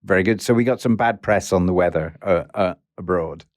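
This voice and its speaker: A man's voice: sits low, fairly quick, darkish tone, no room sound. Male host, encouraging interviewer, dry close sound. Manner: encouraging, restarts questions often.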